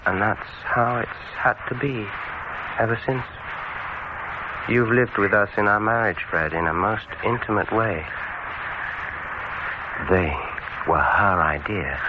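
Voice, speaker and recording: Under heavy hiss on a dull old radio recording, a man plays a vengeful dentist in a horror drama. His low voice is softly menacing and deliberate. He gloats, letting long pauses hang between phrases. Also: lightly acted. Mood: gloating, intimate. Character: sinister vengeful male dentist, softly menacing, savouring cruelty.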